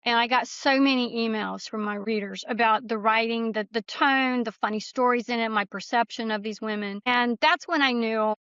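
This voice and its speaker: A woman's voice: fairly high pitch, moderate pace, hardly any pauses, a bit bright, clear, resonant tone, dry recording. A woman speaking as an author and podcast guest, telling stories in a casual, conversational way on a slightly lo-fi recording. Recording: slightly dull, lo-fi sound.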